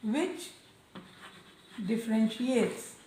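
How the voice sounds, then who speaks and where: A woman teaching a science lesson to children, speaking loudly and deliberately and repeating key terms. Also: fairly high pitch, lively intonation. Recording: echoey room, voice a bit far from mic, faint noise.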